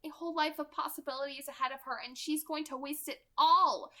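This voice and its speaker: A woman's voice: sits high, steady tone, brisk, pushed and bright, pitched above the performer's own voice. A woman voicing a proud, wounded young immortal woman in a haughty, emphatic way for a story reading. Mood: anguished, regretful.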